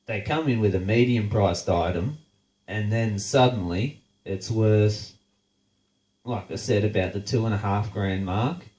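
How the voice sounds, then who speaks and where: Unhurried Australian host with a head cold, nasal and blunt, swearing his way through a sarcastic rant. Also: fairly low pitch, a little mellow, roomy sound, low-quality recording. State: congested, nasal from a blocked nose. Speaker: show host. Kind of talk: casual profane rant.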